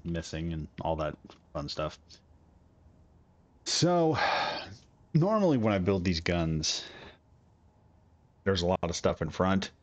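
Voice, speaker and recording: A man's voice: low-pitched, darkish tone. A man streaming a building game, rambling casually and talking himself through each step as he builds. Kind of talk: casual gameplay commentary. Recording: slightly dull audio with faint music.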